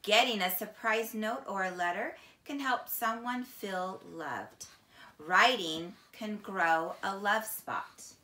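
A middle-aged woman reading a children's picture book aloud in a deliberate, expressive teacher's manner. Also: medium pitch, slight background noise. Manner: slow, deliberate, expressive storytelling. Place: small indoor room, seated on couch. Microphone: camera a few feet away. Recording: echoey room.

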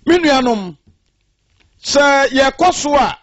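A man with a Ghanaian accent, preaching a radio sermon and reading scripture aloud in a measured way. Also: very high voice, expressive, no room sound, highs cut off. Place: radio studio.

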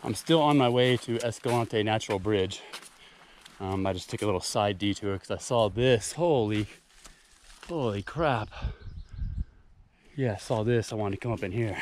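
A man's voice: fairly low pitch, moderate pace, long pauses, a little mellow. A man talking casually to himself in short, exclamatory bursts as he hikes and films outdoors. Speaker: hiking vlogger. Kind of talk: casual off-the-cuff trail narration. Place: outdoors on foot in a rocky wooded canyon. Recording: outdoor recording with clear handling and nature noise.

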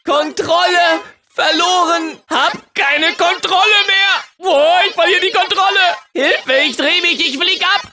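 A man voicing an excitable, taunting cyborg mad scientist in a cartoon kart racing game, with shrill shouts and exaggerated delivery. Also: a little slow, few pauses, background noise. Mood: panicked.

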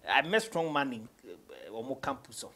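A man's voice: medium pitch, very animated, moderate pace, sharp tone. Ghanaian man telling stories casually. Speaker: interview guest, rapper. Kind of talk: casual storytelling in English mixed with Twi. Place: small indoor studio.

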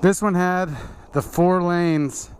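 A man's voice: high voice, steady tone, slow. A middle-aged man casually narrating a tour while riding a dirt bike outdoors, rambling with fillers and asides, recorded on a helmet camera. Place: outdoors at an abandoned desert waterpark. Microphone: helmet-mounted action camera. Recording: loud engine noise over the voice. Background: loud motorcycle engine and wind.

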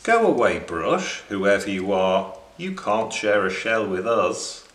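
Grumbling storybook crab, performed by a male reader, abrupt and indignant, swooping pitch, roomy recording. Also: very animated, slow, a bit bright, exaggerated voice. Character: bossy, grumbling little hermit crab. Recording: roomy indoor echo.

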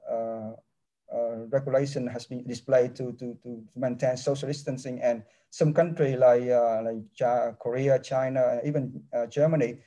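Halting, mellow-voiced professor on a video call. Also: male voice, medium pitch, fairly even intonation. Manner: halting non-native English with many restarts. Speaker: engineering professor. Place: office with large window. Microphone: laptop webcam mic on a video call.

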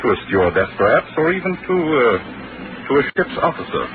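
A man playing a measured, lecturing doctor. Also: medium pitch, very animated, natural voice. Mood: explaining. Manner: measured, lecturing, with a formal precise diction. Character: calm, reasoning doctor explaining a vanishing. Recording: tinny old radio broadcast with hiss.

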